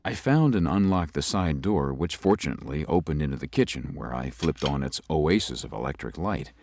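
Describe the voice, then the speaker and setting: A man voicing a young, composed city lawyer who narrates his own ghost story in a measured, slightly formal way. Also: very deep voice, animated, a little mellow, slight background noise. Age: young adult. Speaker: narrator and lead. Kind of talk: first-person audio drama narration.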